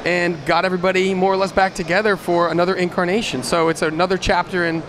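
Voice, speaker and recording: A man giving casual, explanatory interview answers as a creator, with small halting pauses. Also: sits high, flat delivery, crowd noise. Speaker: radio play creator and director. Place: live convention event, microphone. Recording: clear background noise of convention.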